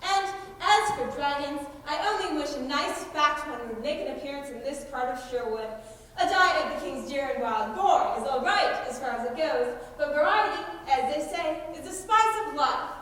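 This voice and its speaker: Bossy, mocking outlaw heroine, played by a young woman, high voice, theatrical stage delivery, recorded far off in a hall. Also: lively intonation, normal speed, a bit bright. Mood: proud, scornful. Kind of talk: high school stage comedy acting. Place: school auditorium stage. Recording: echoey hall, distant mic, noisy.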